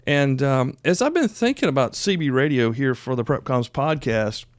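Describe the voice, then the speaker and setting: A man's voice: medium pitch, animated, normal speed, dry recording. Dry-miked American man, folksy podcast host. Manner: folksy, rambling, reassuring. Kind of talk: casual solo podcast talk.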